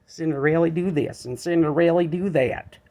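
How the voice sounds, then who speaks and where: A man's voice: on the high side, moderate pace, mellow, a little hiss or hum, voice pushed up, natural voice. A man voicing a thin, indignant but sympathetic mouse in a children's storybook.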